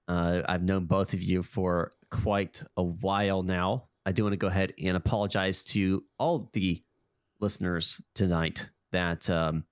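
A man with a cold hosts a podcast. His voice sits on the low side and he speaks in a calm, deliberate way. The recording is dry and close, with almost no room sound. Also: clear, resonant tone, no echo. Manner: measured, deliberate delivery. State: has a cold, sniffly. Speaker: podcast host. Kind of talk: scripted podcast intro then hosting a discussion.

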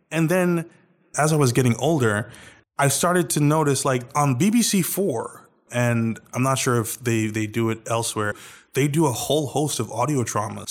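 Man with an American accent, rambling. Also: mid-range voice. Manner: rambling, with many restarts and fillers. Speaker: podcast guest. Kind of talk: casual chat.

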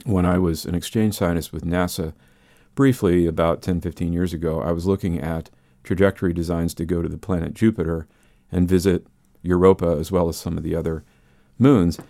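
Older man, deep baritone, dry studio sound, conversational explainer, interview guest. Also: fairly even intonation, a little fast, mellow, no echo. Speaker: interview guest, space scientist and musician.

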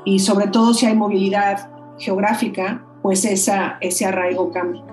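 A woman, explaining her ideas as a guest in a measured, thoughtful way. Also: medium pitch, little pitch movement, darkish tone, faint music behind. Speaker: guest expert. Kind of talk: explanatory conversation. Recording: roomy echo.

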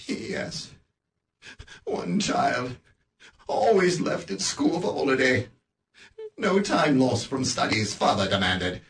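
An actor playing a bitter, miserly old man in a radio play, speaking in a halting, stammering way. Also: medium pitch, sing-song, creaky, fairly bright tone, far from the mic, slight background noise, full character voice. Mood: regretful.

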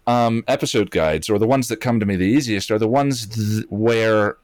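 A man's voice: on the low side, normal speed, darkish tone, no room sound, clean studio sound. A man in reflective casual conversation, searching for his words and speaking hesitantly about his own experience. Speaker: video channel creator.

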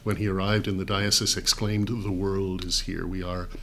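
A middle-aged man from New York, a guest telling stories in a measured, articulate way with dry humor. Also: deep voice, level tone, a bit bright, slight background noise. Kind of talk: conversational storytelling.

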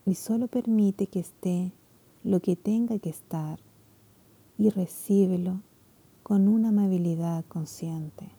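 A woman leading a guided meditation, speaking softly and soothingly, her phrases often trailing into whispers. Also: mid-range voice, takes it slowly, breathy edge.

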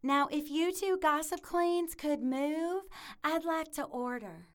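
A woman's voice: high voice, takes it slowly. A woman playing a curt, indignant young small-town woman in a comic audio drama, snippy.

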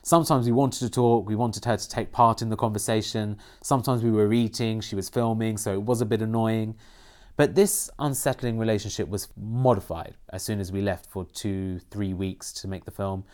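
A man's voice: fairly low pitch, steady tone, normal speed, a little mellow. A man from Iran whose first language is Persian, answering interview questions earnestly about acting in a film. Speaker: film protagonist, interview guest.

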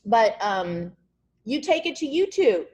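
A woman's voice: a little high, sing-song, takes it slowly, a little mellow. A woman hosting a podcast over a video call, talking casually in a rambling, encouraging way and often searching for words. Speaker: podcast host.

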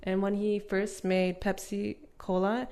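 A woman's voice: medium pitch, level tone, unhurried, a little mellow, clean tone. A young American woman from North Carolina in her twenties, chatting as a guest on a podcast, upbeat and full of "yeah" and "like". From North Carolina, USA. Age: 20s. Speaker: guest, English teacher. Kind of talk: casual interview chat. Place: podcast studio.

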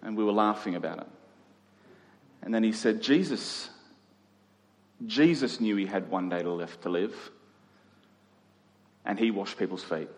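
A man preaching an expository sermon to a congregation, conversational in tone with deliberate, emphatic phrasing. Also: on the low side. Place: large reverberant room, live church service. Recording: reverberant hall.

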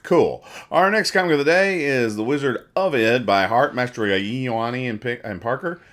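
A man hosting a casual comedy podcast, describing comic strips aloud and explaining their jokes in a rambling, halting, mock-serious way. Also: medium pitch, animated, fairly bright tone. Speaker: podcast host reading newspaper comics.

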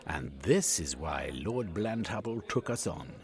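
A man's voice: sits low, sing-song, a little slow. A man voicing a scheming, scornful male foreign spy villain who threatens and sneers.

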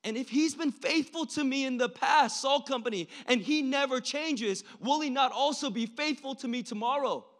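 A young man preaching a sermon in an informal, slangy way, swinging between hushed and impassioned delivery. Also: very high voice, moderate pace, hardly any pauses. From born in South Korea. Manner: informal, youthful slang, frequent "like" and rhetorical questions.

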